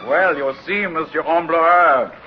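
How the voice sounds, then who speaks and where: Man playing a smug French sea captain, highish voice. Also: a little slow, lightly acted. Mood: smug, mocking. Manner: mocking, smug, florid. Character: suave, boastful, menacing French privateer captain. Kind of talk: 1950s radio drama acting. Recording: dull, noisy 1950s radio recording.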